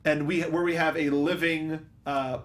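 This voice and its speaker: A man hosting a movie review panel, chatting casually and thinking aloud in a halting way. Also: fairly high pitch, level tone. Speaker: host of a movie review show.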